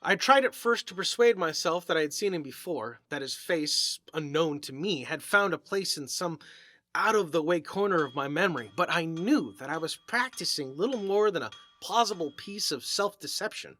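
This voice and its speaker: A man narrates an eerie short story in a theatrical, deliberate way. His voice sits a little high and has a bright, forceful edge. The recording is very dry, with little room sound. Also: expressive, no echo. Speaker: story reader.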